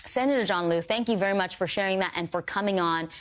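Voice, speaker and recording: A woman's voice: mid-range voice, fast. A woman news anchor conducting a broadcast interview in an emphatic, conversational way.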